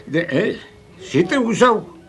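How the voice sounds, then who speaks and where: A man's voice: high voice, sing-song, slow pace, fairly bright tone, heavily acted. An actor playing a cheeky, stubborn old Amsterdam father in a Dutch comedy radio play, blunt and colloquial. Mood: stubborn, offhand.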